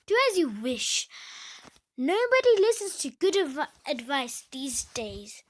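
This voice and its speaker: Lady doing a grumbly old mama-knows-best duck for a kids story, bossy, slow talking, voice jumps around a lot. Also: high voice, very animated. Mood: huffy. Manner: scolding, grumbling, know-it-all. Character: crabby old female duck, bossy and dismissive. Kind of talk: children's storybook read-aloud.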